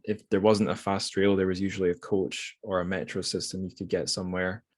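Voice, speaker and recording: Low-voiced man talking books. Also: little pitch movement, fairly quick. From Scotland. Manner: hesitant, self-correcting, rambling. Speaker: podcast host. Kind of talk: casual book discussion.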